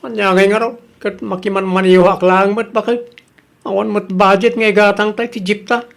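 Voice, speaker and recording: An earnest jeepney driver acted by a man in an Ilocano radio drama. The voice has a gravelly creak and an Ilocano accent, and sounds worried and respectful, like someone answering to a boss. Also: high-pitched, level tone, moderate pace, fairly bright tone. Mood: worried, puzzled.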